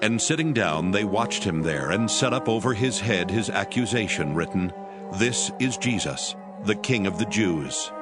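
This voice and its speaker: Deep solemn male scripture narrator. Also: lively intonation, over loud music. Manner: solemn, measured reading.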